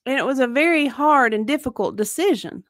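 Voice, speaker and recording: A woman's voice: high voice, animated, fairly quick, dry recording, studio quality. A woman acting the part of an evasive, defensive victim advocate under deposition, hesitant and clipped in a dramatic reading. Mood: earnest.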